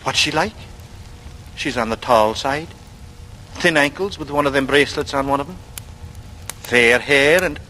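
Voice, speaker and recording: Glib, bright-voiced young charmer. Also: male voice, mid-range voice, measured pace, pauses often. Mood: sly, casual. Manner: glib, breezy, mock-polite. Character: cocky, smooth-talking young working-class man, slyly charming. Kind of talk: 1940s radio acting. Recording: noisy vintage radio recording.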